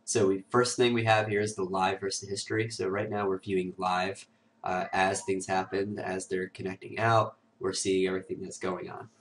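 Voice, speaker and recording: Hoarse, low-voiced man with a cold. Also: level tone, moderate pace, far from the mic. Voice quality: raspy. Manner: casual, filler-heavy walkthrough with many "uh" and "um". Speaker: host of a security tutorial show. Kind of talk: screen-recorded software tutorial.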